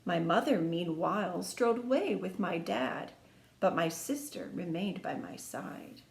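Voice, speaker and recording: A woman's voice: lowish voice, unhurried, creaky. Echoey woman reading aloud to children. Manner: expressive, sing-song rhyming delivery with asides to young listeners. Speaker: music teacher reading a picture book to children. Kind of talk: children's storytime read-aloud. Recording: echoey room.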